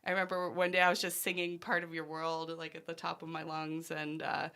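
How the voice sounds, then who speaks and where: A woman's voice: deep voice, completely flat delivery, brisk, sharp tone. A woman hosting a music podcast, chatting casually, giggling often and telling stories animatedly. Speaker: podcast host. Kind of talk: casual interview chat.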